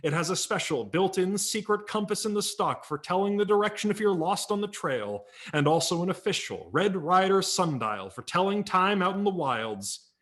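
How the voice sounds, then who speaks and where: A man voicing a hearty cowboy hero who makes a booming, folksy sales pitch from a magazine ad. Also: animated, normal speed, few pauses, a bit bright.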